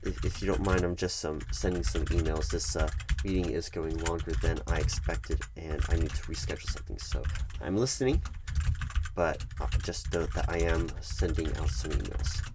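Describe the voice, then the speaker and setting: A man playing a detached, patronizing corporate HR manager in a one-sided ASMR roleplay, speaking in a halting, measured, bureaucratic way. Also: low voice, noisy background. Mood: distracted, placating. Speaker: human resources manager.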